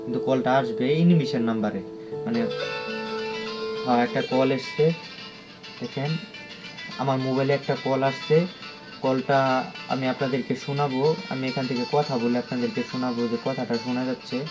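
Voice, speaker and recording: A man with a Bangladeshi accent giving an unscripted app walkthrough as a tutorial presenter, speaking in a halting, repetitive way. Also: medium pitch, steady tone, music in the background. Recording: dull lo-fi audio with clear noise.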